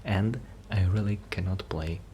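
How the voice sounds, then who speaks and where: A man speaking softly in a breathy, near-whispered voice, rambling shyly and hesitantly in an ASMR roleplay. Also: sits low, a little slow. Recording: noticeable background noise.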